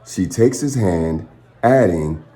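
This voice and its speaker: A man hosts a children's storytime in a fairly low voice. His pitch moves very freely as he reads. He phrases dramatically, with pauses for his young listeners. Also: expressive, dark tone. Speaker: children's storytime reader and channel host. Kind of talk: expressive picture-book read-aloud.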